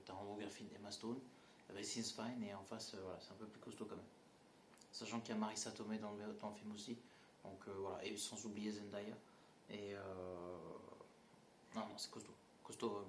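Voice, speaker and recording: A man's voice: on the low side, flat delivery, brisk. Male reviewer, casual blunt delivery, mocking asides, roomy echo with faint background noise. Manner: blunt, mocking, many fillers and restarts. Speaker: movie reviewer. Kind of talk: casual spoken film critique.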